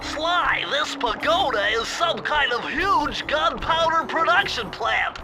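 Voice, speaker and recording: A very high-voiced, nerdy gadget expert gives earnest, precise instructions like a bookish sidekick. It is cartoon video game dialogue, with music clearly behind the voice. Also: male voice, lively intonation, slow pace, full character voice. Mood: earnest, instructive.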